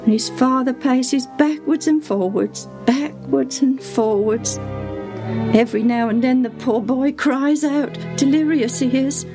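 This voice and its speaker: A woman's voice: high-pitched, pitched above the performer's own voice. A woman voicing a faithful, grieving servant woman in a storybook reading, sobbing and lamenting in a folksy way. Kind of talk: dramatised Bible storybook reading.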